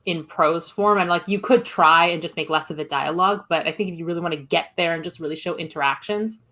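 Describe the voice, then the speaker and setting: A woman with a slightly low voice talks as a podcast guest. She gives her opinions in a casual, conversational review style. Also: quick pace, a bit bright.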